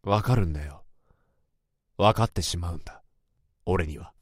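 A man's voice: low-pitched, moderate pace, background noise, close to an everyday voice. An actor playing a tense office worker who narrates his own inner thoughts in a radio drama. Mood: cold. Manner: tense, brooding, rising to urgent shouts. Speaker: narrator and protagonist.

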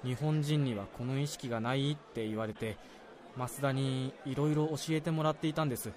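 A man's voice: medium pitch, fairly even intonation, brisk, a little mellow, some chatter behind, lightly acted. A man acting an earnest, self-doubting young salaryman in a radio drama, speaking deferentially and confidingly. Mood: earnest recounting. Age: young adult. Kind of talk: Japanese radio drama dialogue.

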